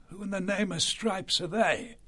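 A man's voice: sits high, steady tone, moderate pace, pushed and bright, slightly rough, pitched above the performer's own voice, full character voice. A man voicing a big male badger who talks in a blunt, impatient way. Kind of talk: audiobook character voice.

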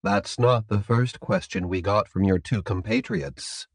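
A man voicing a calm, courteous, businesslike captor in an audiobook, speaking in a polite, measured, formal way. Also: lowish voice, creaky, no room sound, studio quality. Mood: measured, explanatory. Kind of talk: audiobook character dialogue.

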